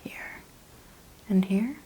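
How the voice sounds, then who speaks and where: A woman speaking in a soft whispered ASMR style as a chiropractor doing an exam, calmly explaining and reassuring. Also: very slow, noisy background. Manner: calm, reassuring, step-by-step explaining. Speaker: ASMR chiropractor role-player. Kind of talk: soft-spoken, whispered ASMR clinical exam role-play.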